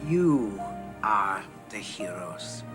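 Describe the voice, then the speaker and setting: Old austrian lady, humble and gentle, talks real slow, film clip. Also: extremely low pitch, big pitch swings, music in the background, exaggerated voice. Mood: tender. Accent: Austrian German. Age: older. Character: elderly woman who hid refugees, humble and gentle. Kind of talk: film drama.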